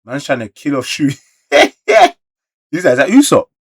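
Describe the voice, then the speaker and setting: A man's voice: on the high side, normal speed, slightly rough. London man reacting in loose street slang. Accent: London British. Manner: slangy, animated exclamations mixed with muttered asides. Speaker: reaction video creator. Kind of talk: casual manga read-along reaction.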